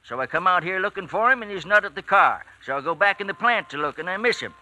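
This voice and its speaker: An actor playing a grumbling, folksy elderly plant worker in a 1940s radio drama, querulous and indignant about young people. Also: male voice, high-pitched, lively intonation, quick pace, pushed and bright, full character voice, rough recording. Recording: vintage 1940s radio broadcast.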